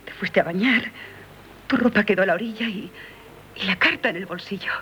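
Actress as devoted, insistent young lover. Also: female voice, medium pitch, a little fast, pushed and bright, lightly acted. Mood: resolute. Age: young adult. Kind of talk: 1970s radio drama acting. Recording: noisy 1970s radio broadcast recording.

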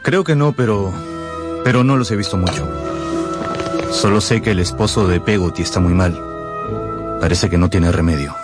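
A male actor plays a restless young gentleman of a radio drama, a man with a fairly low voice. He is evasive and persuasive, with a brusque, insistent way of talking. Music plays clearly behind him. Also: moderate pace, pauses often, lightly acted. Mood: casual, evasive.

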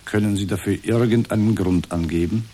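An actor playing an unflappable, formally polite therapist in a satirical radio drama, speaking in measured, stilted counselling phrases. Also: male voice, lowish voice, mellow, lightly acted. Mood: calmly prompting. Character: unflappable automated male therapist, formally polite, echoing the patient.

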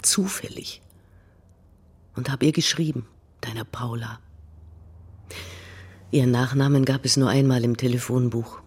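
An actor playing a lonely, hurt man in a radio drama, confiding intently in a very husky, breathy voice. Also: medium pitch, steady tone, a little slow, pauses often. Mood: intimate, tense. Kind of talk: dramatic monologue, radio play. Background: faint music.

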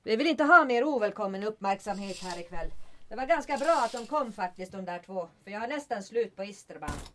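A woman playing a tough, unflappable bar owner in an audio drama, speaking in a brisk, matter-of-fact way. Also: medium pitch, animated, bright, forceful tone, slight background noise. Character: tough, unflappable female bar owner hiding grim secrets.

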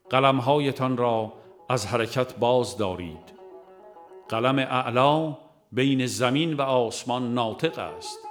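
A man with a medium-pitched voice narrates a devotional book. His delivery is formal and measured, like recited scripture, with pauses between phrases. Instrumental music plays faintly behind him. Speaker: audiobook narrator.

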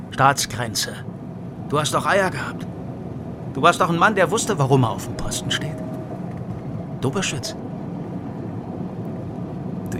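Male actor as bitter East officer, blunt, pressing, conspiratorial, loud car rumble, radio drama. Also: lightly acted. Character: embittered, conspiratorial male former East German state security officer. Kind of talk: German radio crime drama acting. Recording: loud car interior noise.

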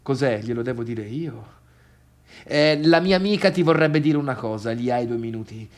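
An actor playing a bitter, needy, boastful failed pianist in a halting theatrical radio monologue that swings between murmurs and outbursts. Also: male voice, medium pitch, a little mellow, natural voice. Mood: flustered, forced cheer. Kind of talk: radio theatre monologue, addressing imagined listeners.

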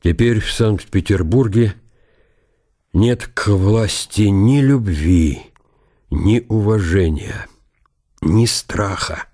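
An actor playing an aging count and veteran commander in a radio drama, brooding and calculating in a deliberate, reflective monologue. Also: male voice, lowish voice, dark tone. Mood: sober, calculating.

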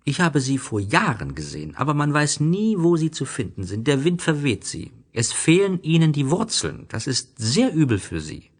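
A humble little flower lady of the desert, voiced by a man, in storybook style. The voice is raised high and swoops about, wistful and hesitant. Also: big pitch swings, moderate pace, hardly any pauses. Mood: wistful, musing.

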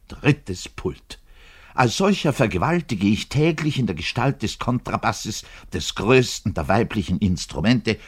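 An actor playing an embittered, self-pitying middle-aged double bassist in a rambling, theatrical radio monologue, pedantic and given to muttering and indignant declaiming, with a husky voice. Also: male voice, full character voice. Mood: bitter, declaiming. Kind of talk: theatrical radio play monologue, confiding to a listener.

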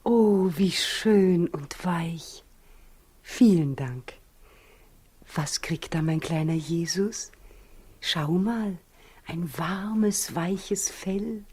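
An actress in a 1960s German radio play, playing Mary as a gentle, happy young new mother with a soft, breathy voice. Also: lowish voice, heavily acted. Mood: grateful. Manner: gentle, unhurried.

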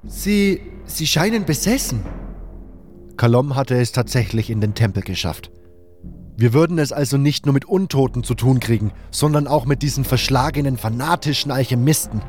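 A man's voice: mid-range voice, a little fast, a little mellow. Male fantasy narrator over faint music. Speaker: first-person narrator. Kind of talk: scripted narration of a fantasy audio drama.

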